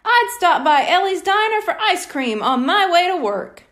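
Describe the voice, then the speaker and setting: A woman voicing a smooth, theatrical male stage hypnotist in a storybook reading, commanding and playful. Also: very high-pitched, big pitch swings, bright, forceful tone, voice pushed up, heavily acted. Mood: showy, jovial. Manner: theatrical, slow and deliberate.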